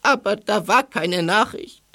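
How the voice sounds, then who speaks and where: A woman playing an earnest young Native man from Mexico in an audio drama, speaking in broken, halting phrases. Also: medium pitch, animated, vocal fry, pushed and bright, heavily acted. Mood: worried. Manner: broken, halting foreign speech.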